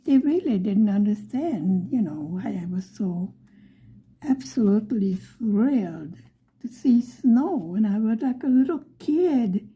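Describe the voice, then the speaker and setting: A middle-aged woman from Buffalo, New York, telling a story in a soft, often whispery voice. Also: medium pitch, expressive, slow pace. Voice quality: frequently whispery, breathy delivery. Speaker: vlogger.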